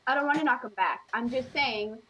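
A woman's voice: high voice, brisk, pushed and bright, slight background noise, rough recording. A woman chatting casually as a player in a role-play game.

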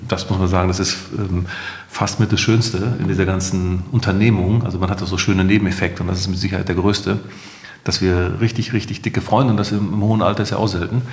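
Deep-voiced middle-aged man in an echoey room. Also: steady tone, fast talker. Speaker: company co-founder, interview guest. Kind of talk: conversational podcast interview. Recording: echoey room with long reverb.

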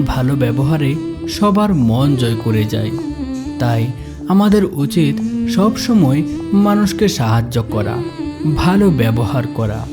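A man reading scripted narration for a children's moral story cartoon, with music behind him. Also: medium pitch.

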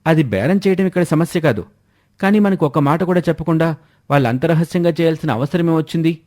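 Cartoon eldest son voiced by a man: resentful, demanding, quick-talking, pushing for answers. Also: on the high side, a little mellow, lightly acted. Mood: confronting. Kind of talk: animated moral-story character voice.

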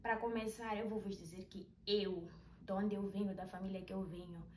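A woman tells a personal story in a chatty, animated way, filmed in a small bedroom with the camera some distance away. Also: medium pitch, a little fast. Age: 20s. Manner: chatty, animated, with hand gestures. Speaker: vlogger. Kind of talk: casual storytelling vlog. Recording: roomy, a little distant, faint hiss.

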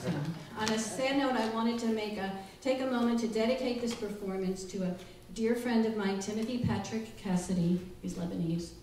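A woman's voice: fairly high pitch, fairly even intonation, normal speed, few pauses. Echoey, distant middle-aged woman hosting live. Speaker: library director and show host. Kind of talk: live welcome speech to an audience. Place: small library room indoors with a live audience. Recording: very echoey room, distant mic, faint noise.

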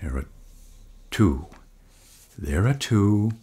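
An actor playing an evasive, placating man with a secret in an audio drama, speaking hesitantly and deliberately. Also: lowish voice, very animated, warm tone, breathy edge, full character voice. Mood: firm, agitated. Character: evasive, soothing husband hiding an uncanny secret.

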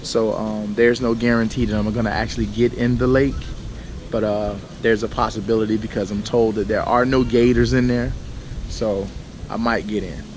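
A man in his thirties with a slightly scratchy voice, chatting casually to his handheld camera as a vlogger inside a large store, relaxed and full of fillers. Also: fairly low pitch, moderate pace. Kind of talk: casual vlog talk to camera. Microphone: handheld action camera at arm's length. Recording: noisy handheld camera audio.

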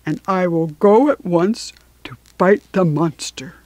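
A woman's voice: on the low side, slow, a little mellow, dry recording. A proud lion from a children's storybook, voiced by a woman narrator. He speaks with solemn, kingly courage, in a dry recording with little room sound. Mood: resolute. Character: proud, brave male lion, king of beasts.

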